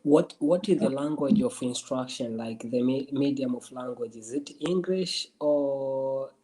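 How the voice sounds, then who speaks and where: A host asking short, quiet questions in a casual interview. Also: medium pitch, unhurried, a crowd in the background, rough recording. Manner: quiet, short prompts and back-channels.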